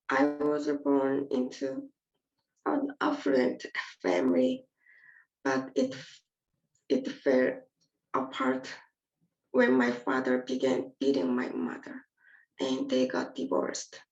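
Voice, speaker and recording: Slow, creaky young woman. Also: high-pitched, a little mellow. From North Korea. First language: Korean. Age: 20s. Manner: measured and earnest, read from a prepared text. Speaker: invited speaker. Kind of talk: prepared personal testimony speech. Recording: echoey dull lo-fi audio.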